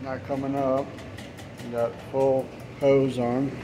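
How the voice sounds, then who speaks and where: Male plumber, casual how-to talk from a body-worn camera, even delivery, noisy basement. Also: mid-range voice, slow pace. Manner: relaxed, explanatory, trails off with fillers. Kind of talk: casual how-to commentary while working. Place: basement utility area, indoors.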